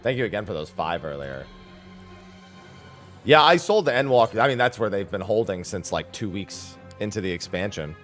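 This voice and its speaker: Animated low-voiced man streaming a game. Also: moderate pace, soft background music. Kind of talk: casual livestream chat.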